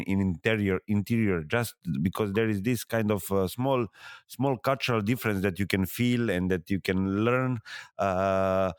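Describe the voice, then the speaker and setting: Serbian-accented man, halting and smooth-voiced. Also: on the low side, no echo, studio quality. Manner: halting, many fillers and restarts. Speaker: guest. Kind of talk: podcast interview.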